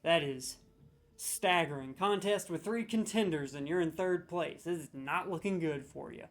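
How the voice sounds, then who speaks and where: A man's voice: fairly high pitch, normal speed. A man hosting a comedic video, ranting sarcastically with mock outrage as he scores game voice lines.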